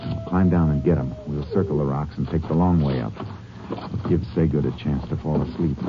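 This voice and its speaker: Brief: a level-headed lawman for a 1950s radio western, very deep, clipped, dull old broadcast sound. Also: fairly quick, over loud music. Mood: calm. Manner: calm, clipped, matter-of-fact. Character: steady, shrewd male Texas Ranger tracker. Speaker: Texas Ranger and narrator. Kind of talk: 1950s radio drama acting.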